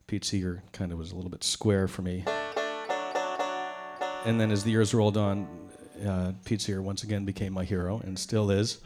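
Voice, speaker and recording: A middle-aged man, a banjo player, chatting with wry, relaxed humor between tunes on a concert stage. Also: sits low, moderate pace. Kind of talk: onstage banter between tunes. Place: concert stage before a live audience. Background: banjo music.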